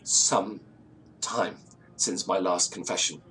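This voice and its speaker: An actor playing a haunted, frightened man, telling his tale in halting, theatrical, literary phrases for a gothic audio drama. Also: low voice, fairly even intonation, over music. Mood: haunted, tense. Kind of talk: gothic audio drama, first-person storytelling.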